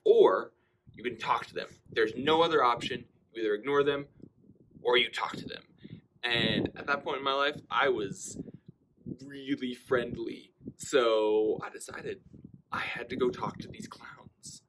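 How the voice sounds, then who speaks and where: A young man with a mid-pitched voice tells a personal story. His delivery is casual and conversational, like someone sharing an anecdote with a group, and he acts out the dialogue as he goes. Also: unhurried, noisy background. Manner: animated delivery, acting out quoted dialogue.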